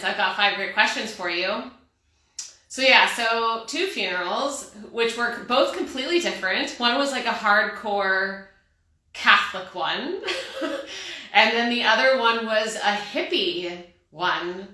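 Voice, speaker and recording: A chatty woman from Texas hosts her own show, talking candidly in a light Texan accent and laughing often. Her voice is bright and forward. The recording rings with heavy room echo. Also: mid-range voice. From Texas, USA. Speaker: podcast host. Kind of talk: casual solo chat. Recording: echoey room.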